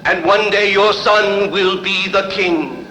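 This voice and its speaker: A solemn oracle priest delivering a god's prophecy. His high, slow, declamatory voice rings out in a long echoing reverb. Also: pushed and bright, exaggerated voice. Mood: solemn, prophetic. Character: oracle priest speaking for a great god. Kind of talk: dubbed historical epic film acting. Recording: echoing hall reverb, faint noise.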